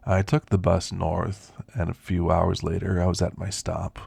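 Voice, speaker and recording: Gravelly, deep old werewolf played by a man. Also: little pitch movement, darkish tone, no room sound, heavily acted. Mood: wistful, reflective. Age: older. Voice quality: gravelly, husky. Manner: folksy, unhurried reminiscing. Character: elderly male werewolf, reflective, gentle storyteller recounting his past. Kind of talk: ASMR roleplay monologue.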